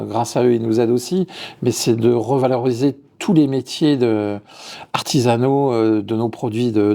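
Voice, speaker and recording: A man's voice: medium pitch, gravelly. A middle-aged man from Lyon, a chef and restaurant owner, answering interview questions with frequent restarts and repeated words. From Lyon, France. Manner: hesitant, repeats words, warm about his work.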